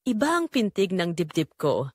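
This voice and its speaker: A woman's voice: lowish voice, very animated, moderate pace, a little mellow, slightly rough, no room sound, clean studio sound. A woman with a Filipino accent giving emotive, confessional first-person narration for a scripted audio drama.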